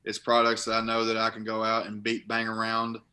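Young male guest, bright tense voice, even monotone delivery, laptop video call from home. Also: on the low side, fairly quick. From Kentucky. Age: young adult. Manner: rambling, restarts phrases often. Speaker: guest, college linebacker and bass angler. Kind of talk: casual interview over video call. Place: living room at home. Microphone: laptop webcam on video call.

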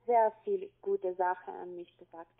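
A woman with a foreign accent speaking on a phone call as a call-centre agent, insistent, with halting non-native phrasing. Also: lowish voice, steady tone, vocal fry. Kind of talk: phone conversation. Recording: tinny narrow phone line.

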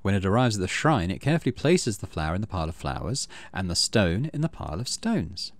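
A man hosting a gaming channel, low-key, casually commenting on play and reading story text aloud. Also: very animated, fast, dry recording.

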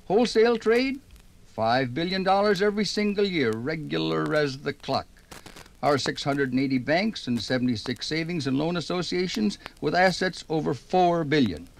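Male narrator, slightly high voice, conversational, homespun vintage radio style. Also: lively intonation, normal speed, creaky, a little hiss or hum. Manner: chatty, direct address to listeners. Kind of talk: folksy 1950s radio narration. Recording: 1950s vinyl radio recording.

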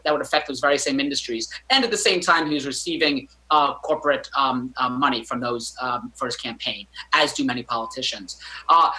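A man in his thirties gives a remote news interview from a book-lined home study. His voice sounds bright and forward, and he works through his points with many fillers and self-corrections. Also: fairly high pitch, low-quality recording. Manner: hesitant, many fillers and restarts. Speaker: physician guest commentator.

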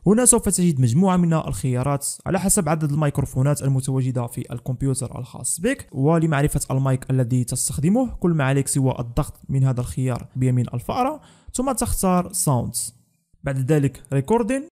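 A man's voice: medium pitch, animated, fast, dark tone. A young man narrating a scripted tech tutorial as the host of a channel.

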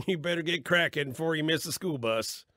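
A man's voice: a little high, normal speed, natural voice. An actor playing an easygoing, folksy, teasing pot-smoking male relative in an audio drama. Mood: relaxed friendly.

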